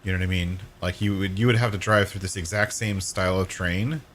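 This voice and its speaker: A man with a hoarse voice, chatting casually and rambling while streaming a game. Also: low voice, a little fast, a little hiss or hum. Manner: rambling, self-correcting, relaxed. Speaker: gaming streamer. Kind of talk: casual live-stream chat while playing.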